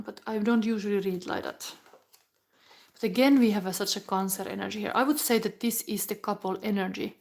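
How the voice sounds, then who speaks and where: A woman in her forties, giving a live tarot reading in a halting, musing way with soft whispered asides. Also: mid-range voice, creaky. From Finland. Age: 40s. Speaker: tarot reader.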